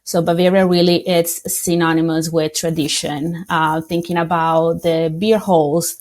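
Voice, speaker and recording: A woman from Italy with an Italian accent, answering interview questions as a tourism representative in a measured, explanatory way. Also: fairly low pitch, little pitch movement, a little mellow. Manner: explanatory, measured, with small slips.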